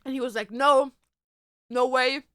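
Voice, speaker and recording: Young woman streamer, tattoo artist, higher bright voice, dry close sound, loose and chatty. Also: steady tone, measured pace, dry recording. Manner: chatty, loose, peppered with "like" and "dude". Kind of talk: casual chat answering viewer questions.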